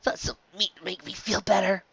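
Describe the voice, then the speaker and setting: A woman's voice: medium pitch, fairly even intonation, fairly quick, vocal fry, fairly bright tone, husky, no room sound, lo-fi sound, pitched above the performer's own voice, exaggerated voice. A woman voicing an ailing, stubborn young male pirate captain in a story reading, plaintive and strained. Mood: weak, pained.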